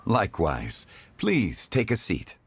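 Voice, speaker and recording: Low, courteous learned gentleman. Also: huge pitch swings, heavy background noise, exaggerated voice. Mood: polite. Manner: formal, measured, humble. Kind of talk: video game cutscene acting. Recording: music behind the voice.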